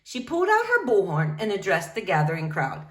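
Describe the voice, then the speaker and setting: A woman reading a picture book aloud to young children in an expressive, animated way. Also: mid-range voice, brisk. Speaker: storybook reader.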